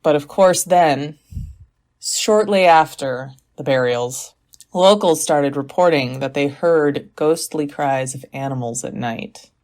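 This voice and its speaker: A woman's voice: sits low, slow, darkish tone. A woman playing a skeptical, dryly exasperated podcaster, telling tales in casual, matter-of-fact banter. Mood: dry, grisly relish. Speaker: podcast co-host. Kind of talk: casual scripted storytelling banter.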